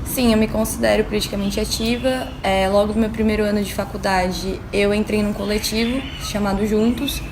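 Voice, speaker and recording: A woman's voice: mid-range voice, fairly even intonation, a little fast, few pauses, noisy background. A young Brazilian woman, a university student, answering thoughtfully in an interview with frequent fillers. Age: young adult.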